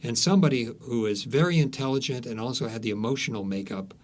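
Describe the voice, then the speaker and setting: A man's voice: medium pitch, fast talker, darkish tone. A man speaking as an author being interviewed, telling stories conversationally, searching for words and repeating some for emphasis.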